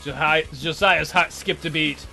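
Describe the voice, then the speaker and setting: A man's voice: on the high side, steady tone, unhurried, creaky, bright, forceful tone. A man hosting a let's play, reading a visual novel aloud in a theatrical, jokey way. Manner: theatrical, jokey, hammy delivery. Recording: background music behind voice.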